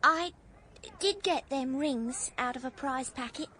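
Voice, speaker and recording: An actress with a working-class English accent playing a sharp, larky young Edwardian woman in a radio drama, blunt and bantering. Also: sits high, unhurried, slight background noise. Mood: pert, defensive.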